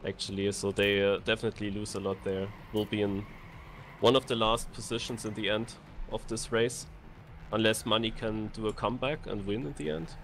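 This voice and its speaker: A man's voice: fairly low pitch, fairly quick, gravelly, slight background noise. A man co-casting a racing game tournament, chiming in with short reactions and laid-back explanations. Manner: short interjections and relaxed explanations. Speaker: co-caster. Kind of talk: live racing game commentary.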